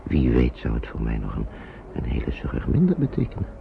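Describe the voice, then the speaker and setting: A fretful kind uncle in an old radio drama, voice swings a lot, noisy dull recording. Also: male voice, very deep voice, huge pitch swings, fairly quick, vocal fry, full character voice. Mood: worried, brooding. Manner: hesitant, muttering, repeats himself. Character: worried kindly man, an uncle minding children. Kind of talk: old Dutch radio play acting.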